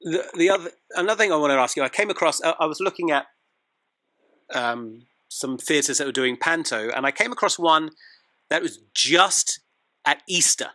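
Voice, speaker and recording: A man's voice: on the high side, expressive, normal speed, pushed and bright. A man hosting a casual interview, asking curious questions in a tentative, attentive way. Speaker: interviewer, host.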